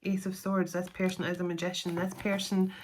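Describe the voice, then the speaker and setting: A woman's voice: fairly low pitch, little pitch movement, brisk, a little hiss or hum, low-quality recording. A middle-aged woman doing a solo tarot reading to camera, chatty and self-deprecating, laughing often between cards. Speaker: tarot reader.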